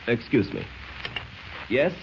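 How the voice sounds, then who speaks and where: An old, muffled, hissy radio drama recording. a suave foreign spy chief with courtly, formal phrasing and a pitch that moves up and down a great deal. He is deliberate and quietly menacing. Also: huge pitch swings, gravelly, heavily acted. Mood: cool, calculating. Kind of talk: 1940s radio drama acting.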